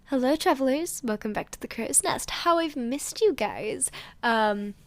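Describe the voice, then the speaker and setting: A woman's voice: high-pitched, animated, a little slow, a bit bright, no room sound. A woman host talking casually and informally to her listeners. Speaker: podfic host.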